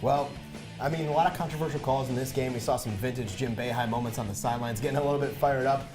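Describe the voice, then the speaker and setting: Male panelist, very rapid delivery, medium pitch, chatty sports analysis over clear music. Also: steady tone. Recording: clear music bed behind the voice.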